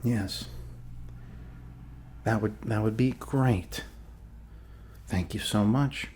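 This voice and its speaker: A man acts out a friendly doctor in an ASMR roleplay with a lowish voice and a slow, easy pace. He reassures in a rambling, hesitant way and slips in joking asides. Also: a little mellow, slight background noise. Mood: businesslike. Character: friendly rambling male doctor treating a zombie bite. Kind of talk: ASMR roleplay, improvised soft bedside talk.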